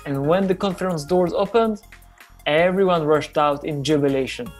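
A man playing a measured field correspondent. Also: fairly high pitch, faint music behind, lightly acted. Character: foreign correspondent reporting from abroad.

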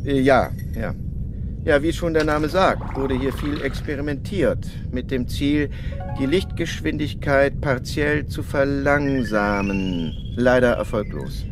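An actor playing a cautious, hesitant guide to space cities in a German radio drama. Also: male voice, medium pitch, music in the background, close to an everyday voice. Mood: reluctant, uneasy. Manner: halting, hesitant.

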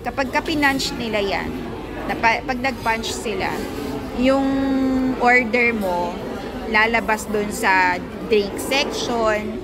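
A woman's voice: on the high side, takes it slowly. A woman with a Filipino accent, chatting casually. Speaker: vlogger. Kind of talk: casual chat. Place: busy restaurant and mall interior. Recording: very noisy, crowded public place. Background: loud crowd chatter and ambient noise.

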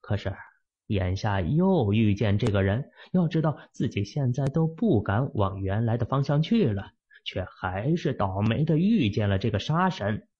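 A man reads a novel aloud as a dramatic storyteller. His voice is low and husky, and his pitch swings widely. The recording sounds dull and lo-fi. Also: animated, normal speed, a little mellow. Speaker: audiobook narrator.

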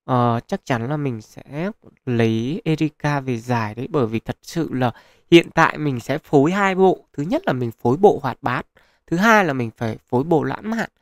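A man's voice: mid-range voice, animated, moderate pace, dry recording, studio quality. A man hosting a gaming channel, talking viewers through a mobile game in a casual, chatty way with plenty of fillers. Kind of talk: casual gameplay commentary. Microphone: close mic, voice-over on screen capture.

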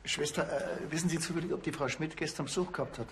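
A man's voice: on the high side, fast talker, fairly bright tone, a little hiss or hum, natural voice. An actor playing a dry, grumbling small-town policeman with a Bavarian accent in a TV crime comedy. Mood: dry, sardonic. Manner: dry, sardonic.

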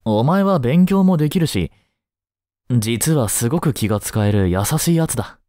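A man playing a kind, easygoing schoolteacher in a voice drama, gently and casually encouraging a student. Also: medium pitch, lively intonation, dry recording, clean studio sound, lightly acted. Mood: reassuring, encouraging. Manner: gentle, unhurried, casual, reassuring with long pauses. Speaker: voice drama performer. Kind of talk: Japanese voice drama, one-sided intimate talk to the listener.

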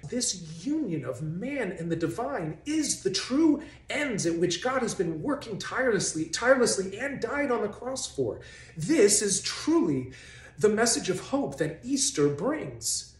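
A man in his forties hosting a faith video, giving sarcastic, mock-incredulous commentary straight to camera in a bright living room. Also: high-pitched, very animated, unhurried. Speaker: host of a faith video series. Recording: roomy echo in a home.

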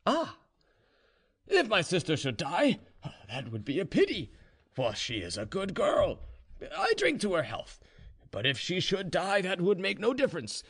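Storybook drunk serf, boastful and defiant, played by a male reader: high voice, slow, hesitant tipsy delivery. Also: huge pitch swings, a bit bright, breathy edge, exaggerated voice. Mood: boastful, careless.

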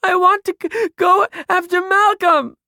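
A man voicing a hysterical, demanding little girl in an audiobook, shrill and breathless. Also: measured pace, fairly bright tone, dry recording, studio quality, full character voice. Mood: desperate. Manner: breathless, shrieking.

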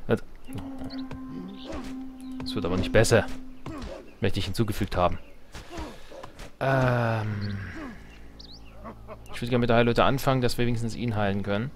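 Low-voiced man doing casual game commentary. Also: normal speed, long pauses, faint music behind. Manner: jokey, slangy, talking to himself and to game units. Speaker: let's player.

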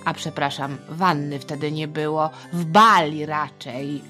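A woman's voice: low-pitched, steady tone, a little slow, pushed and bright, faint music behind. A middle-aged woman telling history to children in a chatty, playful, theatrical way, with asides. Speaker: storyteller, podcast host.

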